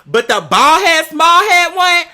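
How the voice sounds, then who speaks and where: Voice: very high-pitched, very animated, takes it slowly, bright, forceful tone. A vlog host telling a personal story in a sassy, emphatic, slangy way, theatrically acting out the dialogue. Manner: sassy, slangy, emphatic, peppering talk with "girl"; theatrical, acts out quoted dialogue. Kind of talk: animated gossipy storytelling vlog.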